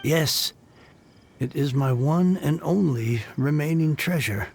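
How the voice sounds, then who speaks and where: A gravelly, somewhat high-pitched voice for a down-on-his-luck book collector, given to theatrical lament. Faint hiss sits under the game audio. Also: slow, darkish tone. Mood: nostalgic. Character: impoverished middle-aged bookish shopkeeper, dramatic.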